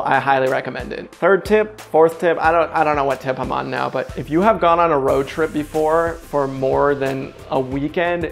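Upbeat young man with a gravelly edge. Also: on the high side. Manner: chatty, upbeat, storytelling with fillers. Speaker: travel vlogger. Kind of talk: casual direct-to-camera vlog. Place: indoors in a bright kitchen. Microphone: lapel mic.

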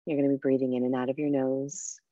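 A woman guiding a meditation and breathing exercise in a soft, unhurried, soothing way with pauses. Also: very deep voice, flat delivery, no room sound, lo-fi sound. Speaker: meditation guide and coach. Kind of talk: guided meditation with breathing instruction.

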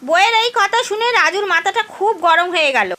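A woman's voice: extremely high pitch, expressive, fairly quick, pushed and bright. A woman reading scripted narration for a cartoon story.

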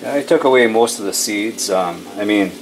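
Casting: easygoing Canadian man in his forties, lowish voice, casual home-vlog chat over clear background noise. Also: normal speed. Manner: laid-back, informal, swears freely. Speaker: home vlogger. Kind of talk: casual chat while filming daily life. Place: home kitchen, with some outdoor shots. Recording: noisy handheld camera audio with ambient hiss.

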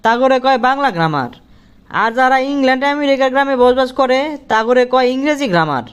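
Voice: sits high, animated, fast, clear, resonant tone. Performer playing cartoon village job applicant, Bangladeshi accent, cheeky, eager, literal-minded, colloquial comic delivery. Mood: earnest, cheeky. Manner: eager, deferential yet cheeky, colloquial rustic speech.

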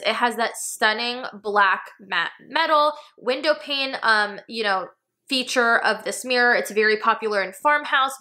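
Bright-voiced American woman reviewing home decor. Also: a little high. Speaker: home decor video host. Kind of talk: casual product review to camera. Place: small furnished room indoors.

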